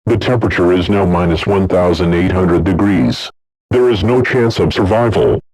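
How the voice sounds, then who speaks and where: A man playing a bleak, resigned announcer of a final doomsday broadcast, with a husky, rough voice. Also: sits low, normal speed, a little mellow, no echo, low-quality recording.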